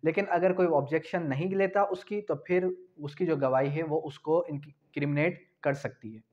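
A man's voice: on the high side, moderate pace, darkish tone. A man in his twenties with a Pakistani accent gives an explanatory law lesson, posing questions and answering them himself. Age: 20s. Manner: didactic, poses questions and answers them, repeats key points. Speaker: law lecturer. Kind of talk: explanatory lesson at a whiteboard, switching into English legal terms. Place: small indoor room with whiteboard. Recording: dull, lo-fi sound.

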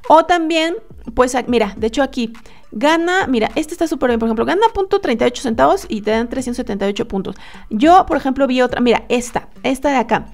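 A woman's voice: sits high, fast. A woman in her thirties talking casually to a webcam, walking viewers through websites with lots of fillers and restarts. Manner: chatty, animated, many fillers and restarts. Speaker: online money-making vlogger. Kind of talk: casual screen-share tutorial. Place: indoors at a desk, webcam.

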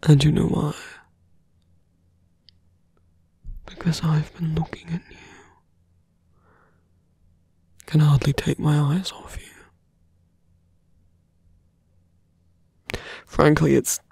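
A man playing a seductive, brooding fantasy villain in an intimate ASMR roleplay, coaxing softly in a breathy, husky whisper with lingering pauses. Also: on the high side, little pitch movement, dry recording, heavily acted. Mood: teasing, seductive.

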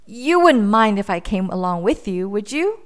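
A woman's voice: mid-range voice, sing-song, moderate pace, natural voice. A woman voicing a timid male lion in a storybook, given to yelps and whimpers. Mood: frightened. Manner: yelping, whimpering. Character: lazy male lion turned timid coward.

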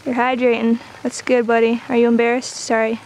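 A woman's voice: fairly high pitch, monotone, a little fast, a little mellow. A young woman chatting casually and playfully as she films a travel vlog on a handheld camera, cooing as she talks. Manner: playful, coos at animals and children. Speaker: travel vlogger. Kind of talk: casual chat while filming a hike. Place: outdoors on mountain village roads and paths. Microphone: handheld camera microphone. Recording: noisy outdoor handheld camera audio.

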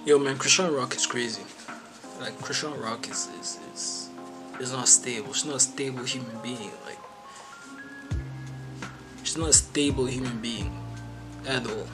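A young man from South Africa comments casually as a reaction host. His voice sits at a middle pitch and he circles back on his phrases for emphasis. Loud music runs underneath. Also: unhurried, pauses often. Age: young adult.

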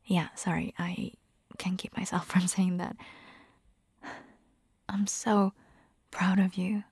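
A woman voicing a caring, gently bossy vampire hunter girlfriend in a soft, breathy, half-whispered ASMR roleplay, close to the microphone. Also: fairly low pitch, little pitch movement, slow, dry recording, heavily acted. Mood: warm, proud.

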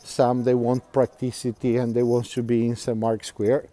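A man's voice: medium pitch, completely flat delivery, moderate pace, warm tone. A middle-aged man gives a live walking tour outdoors, reading viewer comments aloud and chatting with frequent fillers and laughs. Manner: chatty, laughs often, many fillers and restarts. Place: outdoors, quiet canal-side streets.

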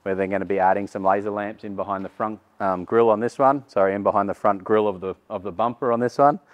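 A man's voice: fairly low pitch, steady tone, quick pace, dull audio. A man, giving a casual walkaround tour of a vehicle workshop with frequent fillers. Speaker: workshop host. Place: large vehicle workshop indoors.

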